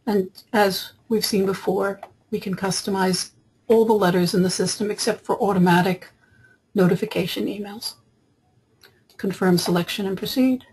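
A woman software trainer narrating a screen tutorial in an explanatory, step-by-step way, with a husky voice. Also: mid-range voice, fairly even intonation, moderate pace, a little hiss or hum, low-quality recording. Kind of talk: screen-capture tutorial narration, semi-improvised. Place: voice-over for screen recording.

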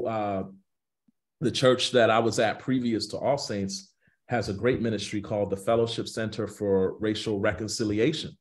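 Low-voiced American pastor, emphatic. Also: male voice, darkish tone. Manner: emphatic, repeats phrases for weight. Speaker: pastor, guest speaker. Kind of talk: earnest extemporaneous talk with preacher's cadence. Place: small indoor room on a video call.

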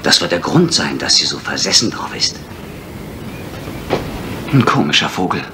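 An actor playing a brisk, bossy, gossipy male employee with a snappy, condescending manner in an old radio play. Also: very deep voice, very animated, noisy background. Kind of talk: German radio crime drama.

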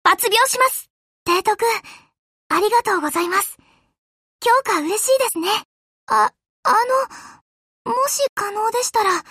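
An actress voicing a gentle, courteous young warship girl who serves her admiral in an anime game, with a deferential, polite manner. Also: very high-pitched, no echo, clean studio sound, exaggerated voice. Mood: eager, courteous, then shy, apologetic. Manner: soft-spoken, courteous, deferential. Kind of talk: Japanese anime game voice acting.

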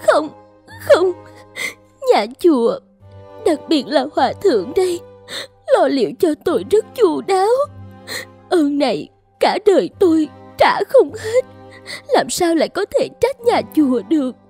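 Dramatic high-pitched lovesick young woman. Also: sing-song, a little slow, long pauses, dark tone, faint music behind, heavily acted. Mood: tearful, pleading. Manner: plaintive, coquettish, emotionally dramatic. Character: lovesick, scheming young woman feigning helpless distress. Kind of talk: Vietnamese animated folk tale dubbing.

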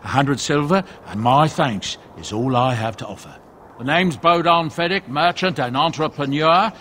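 A genial, folksy dwarf merchant. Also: male voice, on the high side, moderate pace, sharp tone, slight background noise. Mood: friendly. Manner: genial, folksy, old-fashioned courtesy. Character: affable middle-aged male dwarf merchant, courteous and shrewd. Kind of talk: video game character dialogue.

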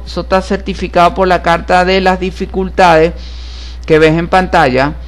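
High-voiced man reading tarot horoscopes. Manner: solemn, repetitive, emphatic about being certified. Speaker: tarot reader and astrologer.

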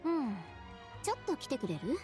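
A woman playing a cool, proud, sharp-tongued young seamstress in a game, curt and self-assured. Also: high voice, big pitch swings, normal speed, over music. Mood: curt, confident.

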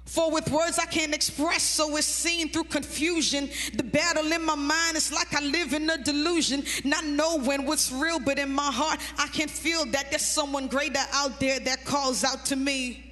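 High-voiced young woman doing spoken word. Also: steady tone, moderate pace, few pauses, big-room sound. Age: young adult. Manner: rhythmic, rhyming delivery, half sung. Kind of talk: spoken word rap over music. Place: concert stage with audience. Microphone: handheld mic. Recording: live concert with loud music. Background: loud backing music.

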